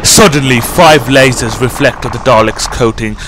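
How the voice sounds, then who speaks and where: A man's voice: mid-range voice, normal speed, music in the background, poor audio. A man reading scripted audiobook narration for a science-fiction story.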